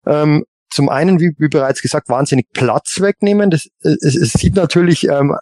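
A man on a casual podcast panel, reasoning in a halting way and often repeating his words. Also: a little high, a little mellow, dry recording.

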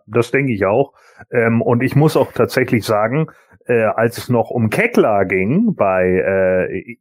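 Male panelist, medium-pitched voice, very dry recording, informal and colloquial chat. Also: no room sound. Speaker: podcast panelist. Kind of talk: casual chat, personal opinions.

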